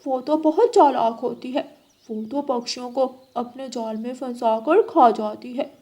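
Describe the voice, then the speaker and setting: A woman's voice: fairly high pitch, unhurried, dark tone. A woman voicing a trusting, earnest young male crow in a children's cartoon. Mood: curious. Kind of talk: children's animal cartoon voice acting.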